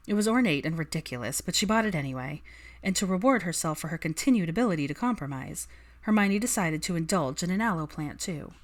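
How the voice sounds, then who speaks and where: Woman reading fanfic out loud, lowish voice, scripted audiobook narration. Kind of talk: scripted fan fiction narration.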